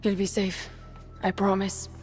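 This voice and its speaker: A woman's voice: mid-range voice, monotone, measured pace, a little mellow, faint music behind, close to an everyday voice. A woman playing a tough, fiercely loyal young guerrilla fighter in a dramatic video game cutscene. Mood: reassuring, urgent.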